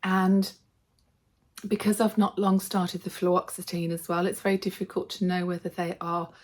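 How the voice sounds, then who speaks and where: A woman vlogger with a slightly hoarse voice from a cold, chatting casually and cheerfully to her viewers. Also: fairly low pitch, flat delivery, moderate pace. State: slightly hoarse, losing her voice from a throat infection. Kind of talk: casual vlog chat to viewers.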